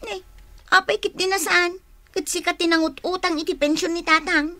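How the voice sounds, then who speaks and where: A woman's voice: extremely high pitch, steady tone, normal speed, pushed and bright. A woman with an Ilocano accent playing an exasperated, scolding daughter and wife in a radio drama. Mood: nagging, exasperated. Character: exasperated grown daughter, nagging wife caring for sick father.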